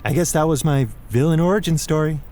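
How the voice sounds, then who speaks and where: A man's voice: on the high side, fast talker, dark tone, lightly acted. A young man playing an awkward, wry henchman recruit in an audio drama, hesitant and sheepish. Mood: disillusioned, wry.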